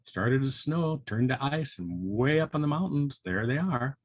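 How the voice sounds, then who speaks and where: A man's voice: mid-range voice, expressive, moderate pace. An older man reading and chatting to young children in a folksy, musing way. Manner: folksy, musing, with interjections like hmm and well. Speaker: volunteer storybook reader for children.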